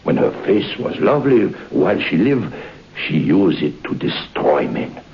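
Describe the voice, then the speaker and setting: A man narrating an old radio horror drama in a deep, ominous, gravelly voice. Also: big pitch swings, unhurried. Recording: dull old radio broadcast with hiss.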